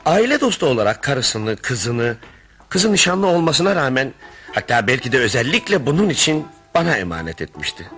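A genial family friend narrating a radio drama over music. He is courteous and conversational, with a middle-pitched voice that moves freely up and down. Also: sing-song, normal speed, a bit bright. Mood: confiding, reflective. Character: genial Russian family friend telling his story. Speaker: narrator and character in a radio play. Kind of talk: radio theatre acting, storytelling narration. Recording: radio play with music behind.

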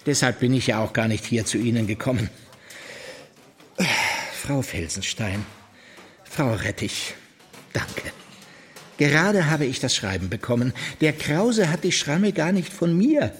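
An actor playing a police inspector in a radio drama, questioning politely and tersely. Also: male voice, medium pitch, expressive, a little slow, long pauses, lightly acted. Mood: conciliatory. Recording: faint background noise.

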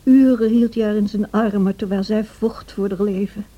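An actress playing a devoted, troubled housekeeper who tells a tragic story in a radio drama, in a measured, confiding way. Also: female voice, mid-range voice, steady tone, warm tone, lightly acted. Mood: sombre storytelling. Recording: dull old radio play recording.

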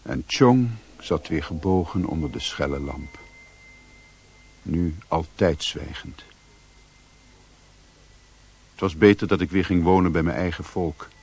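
An actor playing a reflective narrator in a radio drama, husky, measured, sombre and detached. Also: male voice, deep voice, darkish tone, exaggerated voice. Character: reflective male narrator recounting life abroad.

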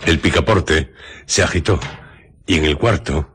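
A man narrating a scripted radio drama in a measured, dramatic way. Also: very deep voice, fairly bright tone, breathy edge.

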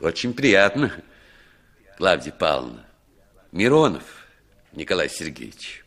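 A man's voice: low-pitched, very animated, a little slow, a bit bright, exaggerated voice. An actor in a 1960s Soviet radio play as a sociable, good-natured male traveller, courteous and inquisitive. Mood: jovial, curious.

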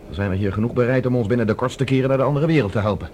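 A man acts a resolute professor in a science fiction radio play. He talks briskly in a mid-pitched voice, urgent and analytical, taking charge. There is faint noise behind him. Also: a little mellow, natural voice. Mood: tense, alert. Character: decisive male professor plotting as captive space traveller.

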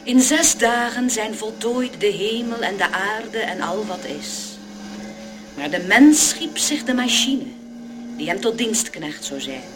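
Slow, portentous prophetic narrator. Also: female voice, mid-range voice, expressive, poor audio. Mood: solemn, foreboding. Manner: declamatory, portentous. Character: solemn prophetic narrator warning mankind, grave and portentous. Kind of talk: solemn radio play prologue in biblical language.

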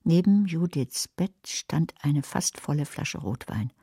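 An actress playing a scheming, bitter, watchful older woman who narrates a crime radio play in a measured, controlled, literary way. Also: low-pitched, lively intonation, mellow, husky, no echo, clean studio sound, full character voice. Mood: guarded, wry.

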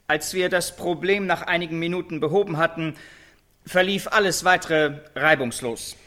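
An actor playing a prison warden who gives a formal, measured press statement in a radio drama. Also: male voice, fairly high pitch, sharp tone. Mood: solemn, official. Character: American prison warden giving a formal execution briefing.

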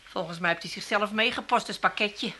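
A woman playing a sardonic, quick-witted daughter-in-law in a comedy radio play, delivering deadpan jibes. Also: medium pitch. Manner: sarcastic, deadpan. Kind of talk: 1980s Dutch comedy radio play acting.

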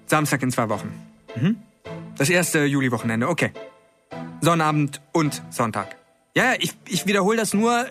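High-voiced, cocky, hot-tempered diva stud. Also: male voice, a bit bright. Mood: sheepish. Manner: brash, swearing, slangy. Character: cocky, foul-mouthed, hot-tempered male porn star. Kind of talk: German radio crime comedy acting.

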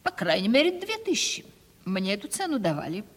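A woman's voice: medium pitch, very animated, a little fast, husky. Haughty, swooping aging lady landowner. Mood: bargaining. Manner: theatrical, imperious, emphatic. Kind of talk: 1970s Russian radio play acting. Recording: reverberant 1970s radio play recording.

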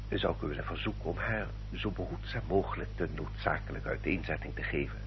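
A smooth, controlling baronet, deep in pitch and widely inflected. His manner is formal, courteous and insistent, as in an old radio play on a dull, noisy recording. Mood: courteous, controlled. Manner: formal, suave, measured and insistent. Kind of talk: Dutch radio play acting.

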